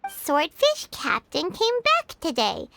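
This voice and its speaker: An actress voicing a tiny childlike fairy companion in a video game, squeaky and exclamatory, eager to help. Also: female voice, wildly swooping pitch, takes it slowly, vocal fry, background noise, exaggerated voice. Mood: curious, helpful. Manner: exclamatory, wide-eyed. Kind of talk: video game character acting.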